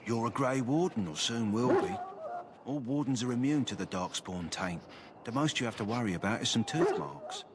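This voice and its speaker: A man plays an earnest fantasy game kennel master, practical and plain-spoken as he tends war hounds. His delivery is expressive, with plenty of rise and fall. Heavy noise sits behind the voice. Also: mid-range voice, normal speed, close to an everyday voice. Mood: practical, reassuring. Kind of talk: fantasy video game dialogue. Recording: game audio under loud background noise.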